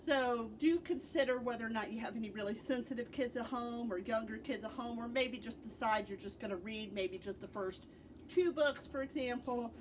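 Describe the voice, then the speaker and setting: A woman's voice: on the high side, normal speed, noisy background. A middle-aged American woman, a librarian host, gives informal book recommendations in an explanatory way, stressing key words.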